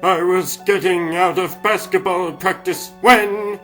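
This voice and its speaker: A man's voice: high-pitched, fairly even intonation, slow pace, fairly bright tone, soft background music. A man voicing a young mouse basketball star, telling his story in wry, slangy scripted narration for a comic dub. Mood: wry, reflective.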